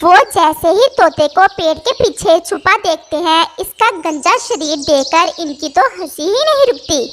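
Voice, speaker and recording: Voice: very high voice, expressive, measured pace, fairly bright tone. A narrator reading a scripted moral story for children.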